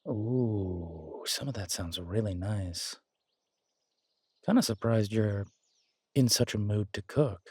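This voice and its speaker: A man playing an affectionate, easygoing werewolf boyfriend who tinkers with bikes, speaking casually and haltingly in an intimate roleplay audio drama. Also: fairly low pitch, warm tone, slightly rough, no room sound. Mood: warm, teasing.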